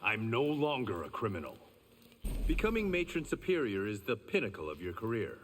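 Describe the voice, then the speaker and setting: A man's voice: lowish voice, wildly swooping pitch, moderate pace, long reverb tail, a little hiss or hum. An actor voicing an honourable reformed gangster swordsman in a fighting game, dry and self-assured. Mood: calm.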